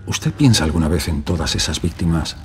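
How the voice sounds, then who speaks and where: Sober male interviewer for audio drama, deep voice, grave probing questions, faint music behind. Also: expressive, a little fast.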